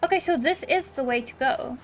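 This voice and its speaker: A woman with a high voice hosts a let's-play in a chatty, playful way. She talks casually and exclaims as she goes, with light music behind her. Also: a little fast. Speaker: gaming video host. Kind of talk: casual let's-play commentary, reading game dialogue aloud.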